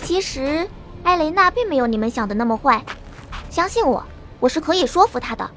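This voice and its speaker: A woman's voice: high voice, very animated, brisk, soft background music. A woman playing an earnest, determined young girl in an audio drama. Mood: earnest, hopeful. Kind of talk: Chinese audio drama character acting.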